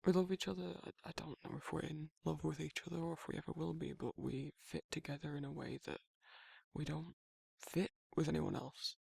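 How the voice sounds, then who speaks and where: A man playing a guarded but soft-hearted werewolf in an intimate ASMR roleplay, talking in a halting, informal way with a husky, gravelly voice. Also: a little high, no room sound, heavily acted. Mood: bashful, candid. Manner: hesitant, halting, rambling, informal. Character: guarded, soft-hearted male werewolf shyly confessing affection.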